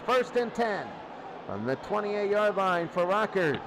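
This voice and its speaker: A man calling live American football play by play in an excitable, exclaiming manner. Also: high-pitched, a little slow. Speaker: play-by-play announcer. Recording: clearly noisy recording.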